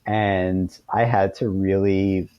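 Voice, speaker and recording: A man's voice: low voice, level tone, slow, smooth, no echo, muffled recording. A man speaking as an author guest in a conversational interview, informal and self-deprecating.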